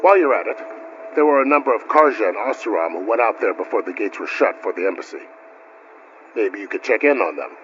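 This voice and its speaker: An actor playing a weary but helpful frontier officer in a video game, explaining things gravely and steadily. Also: male voice, lowish voice, lively intonation, fast, a bit bright, slight background noise. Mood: questioning, helpful. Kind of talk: naturalistic game dialogue. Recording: dull game audio with music.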